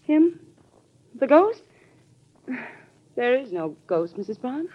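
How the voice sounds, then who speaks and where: An actress playing a brisk, no-nonsense head housekeeper who is easily exasperated, in a classic radio drama. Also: female voice, high voice, very animated, exaggerated voice. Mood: startled, stern. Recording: dull old radio recording.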